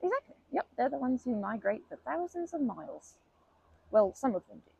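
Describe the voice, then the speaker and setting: A very creaky, soft-toned old grandma, acted by a woman in an ASMR comfort roleplay. She is kindly and chatty, with a breathy, mellow voice and a gentle habit of folksy rambling. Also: sits high, sing-song, fairly quick, highs cut off, voice pushed up, heavily acted. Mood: chatty, fond. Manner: gentle, rambling, folksy wording, soothing asides.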